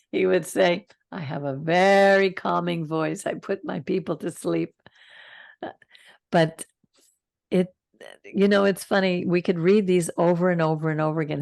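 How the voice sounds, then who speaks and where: An older woman hosting a Torah study over a video call, reading the passage slowly and calmly and stopping to comment. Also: fairly low pitch, vocal fry, no room sound, clean studio sound. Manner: slow, calm reading with side comments. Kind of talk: reading scripture and teaching.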